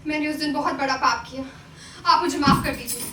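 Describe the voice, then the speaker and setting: A very high-pitched, volatile courtesan. She is proud and wounded, declaiming with raw theatrical emotion. The sound is roomy and picked up from a distance, with faint music behind. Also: fairly even intonation, brisk, bright, forceful tone, slight background noise. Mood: remorseful, pleading. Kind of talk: Hindi stage play acting.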